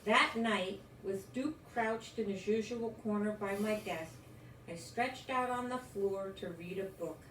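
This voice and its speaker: An older woman reading a children's story aloud in a gentle, expressive, encouraging way. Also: mid-range voice, measured pace. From United States. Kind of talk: read-aloud children's story with chatty asides. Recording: roomy echo, distant mic, faint noise.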